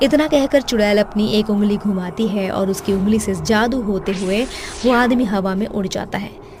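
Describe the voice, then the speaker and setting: A female narrator tells a scripted cartoon horror tale. Her voice is mid-pitched, and faint music plays behind her. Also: normal speed. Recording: background music under the voice.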